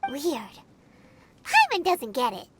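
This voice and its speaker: A tiny fairy sidekick for a video game, extremely high and squeaky. The childlike creature is curious and blunt, with swooping, animated delivery over loud music. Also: female voice, slow, heavily acted. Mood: curious, puzzled. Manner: refers to self in third person, exclamatory. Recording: music behind the voice.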